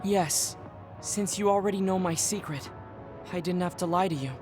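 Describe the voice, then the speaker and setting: A man voicing a reserved young male soldier who hides a secret, speaking formally and softly. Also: sits high, normal speed, lightly acted. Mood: worried, formal. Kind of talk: game cutscene dialogue. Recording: game audio with music behind.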